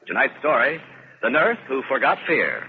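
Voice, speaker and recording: A man's voice: medium pitch, sing-song, normal speed, noisy background. A man announcing a program in the formal, scripted style of 1950s radio. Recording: tinny 1950s radio broadcast.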